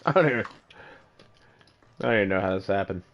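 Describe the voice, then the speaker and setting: Low voiced guy hosting a podcast, swears a lot, laughs easy, muffled lo-fi mic. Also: animated, fast talker, a bit bright, a little hiss or hum. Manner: loose, profane, laughs easily. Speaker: podcast host. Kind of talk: casual podcast chat.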